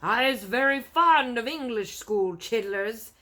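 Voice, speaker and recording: A woman's voice: fairly high pitch, animated, unhurried, sharp tone, pitched above the performer's own voice, heavily acted. A woman voicing a brutish man-eating male giant in a storybook, roaring and gloating in mangled grammar. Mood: gloating, boastful.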